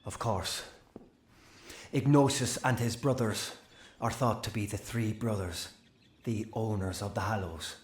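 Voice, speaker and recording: Man as slow, portentous wizard, echoey. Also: fairly low pitch, close to an everyday voice. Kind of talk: video game voice acting.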